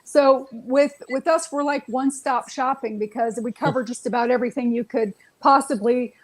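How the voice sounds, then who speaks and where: A woman with a high-pitched voice chats as a guest on a live show. She sounds upbeat and talks freely about the work she shares with her partner. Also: fairly even intonation, moderate pace, low-quality recording. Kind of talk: casual interview chat.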